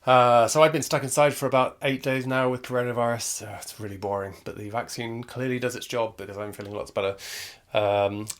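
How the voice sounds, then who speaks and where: A hoarse man hosting a casual piano tutorial at home, talking in a relaxed, halting way and counting beats aloud. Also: medium pitch. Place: at home, indoors. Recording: piano playing behind the voice.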